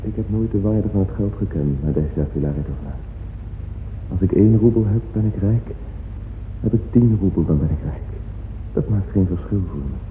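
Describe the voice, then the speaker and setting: Radio drama: actor playing proud, earnest composer, formal and measured, low voice, long echo on a narrow old recording. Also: male voice, close to an everyday voice. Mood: frank, carefree. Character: courteous, proud, earnest young male composer. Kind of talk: dramatic radio play, reciting letters. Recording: echoey, phone-like old radio recording, faint noise.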